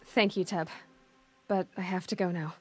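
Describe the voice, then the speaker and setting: A woman's voice: fairly low pitch, fairly even intonation, moderate pace, natural voice. A woman playing a determined, self-reliant young huntress in a video game, often half-muttering to herself. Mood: earnest, resolved. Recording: music behind the voice.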